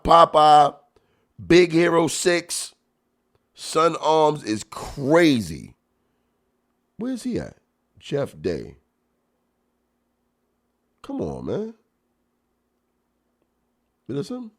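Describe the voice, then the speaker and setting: Man, comedian host, stuffy nose, animated and slangy, lively pitch swings. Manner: animated, laughs loudly, chatty slang. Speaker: podcast host and stand-up comedian. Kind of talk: casual chat reacting to clips.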